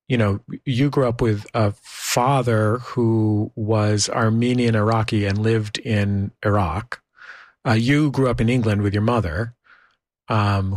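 An American man hosting a radio interview, speaking in a measured, thoughtful way. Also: medium pitch, no room sound, studio quality. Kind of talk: conversational arts interview with scripted introduction.

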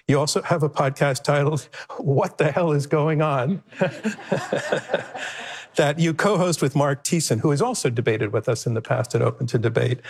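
A man moderating a panel discussion, genial and easygoing, with small restarts and stumbles as he talks. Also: mid-range voice.

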